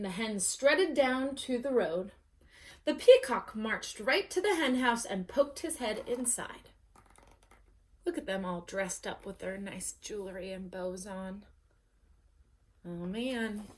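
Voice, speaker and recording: A woman storyteller reading aloud to children in an expressive, dramatic way, as at bedtime story time. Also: a little high, a little hiss or hum.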